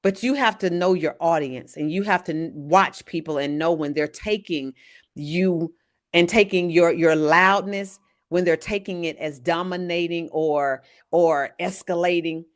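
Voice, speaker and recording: A woman, an evangelist teaching a church leadership lesson in a loud voice. She speaks to the audience directly and checks in with them often. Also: on the low side, unhurried. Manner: says she has a very loud voice; talks to the audience directly, often asking "right?".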